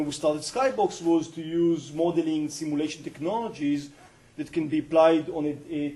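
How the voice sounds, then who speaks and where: A middle-aged man with an Israeli accent giving a university guest lecture in an earnest, explanatory way, pausing often to find his words. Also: fairly high pitch, warm tone, poor audio. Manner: earnest, explanatory, with frequent hesitations. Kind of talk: university colloquium talk.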